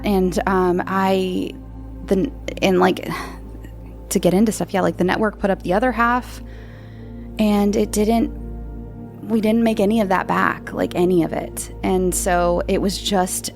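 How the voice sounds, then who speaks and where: A woman hosting a podcast, answering listener questions in a casual, rambling chat full of fillers and restarts. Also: mid-range voice. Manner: chatty, meandering, many fillers and restarts.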